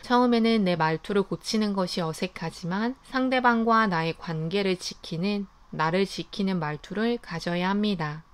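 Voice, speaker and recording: A woman hosting a book review, reading her summary and thoughts in a calm, even, scripted way. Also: medium pitch, normal speed, few pauses, clean tone.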